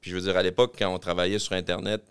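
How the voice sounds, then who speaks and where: A man from Quebec City with a Québécois accent, a podcast guest telling his business story in fast, rambling casual chat. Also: low-pitched, level tone, smooth. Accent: Québécois French. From Quebec City, Canada. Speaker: podcast guest, clothing-store co-founder.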